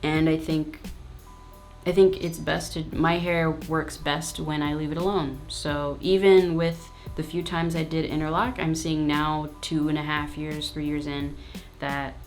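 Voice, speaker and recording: A woman with a low, full speaking voice, talking straight to her viewers in an easygoing vlog Q&A. Her manner is frank and casual, with plenty of slang and asides. Faint music sits underneath. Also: flat delivery, a little slow. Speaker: YouTube host.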